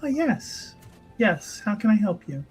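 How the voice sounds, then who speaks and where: A man voicing a devout, courteous chantry sister in tabletop roleplay, speaking in a formal, measured way. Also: high voice, sing-song, a little mellow, faint music behind, pitched above the performer's own voice. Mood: polite, attentive.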